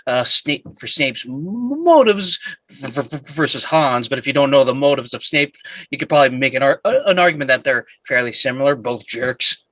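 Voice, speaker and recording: A man hosting a casual discussion after a table read, halting and stumbling over words. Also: mid-range voice, expressive, pushed and bright, no echo.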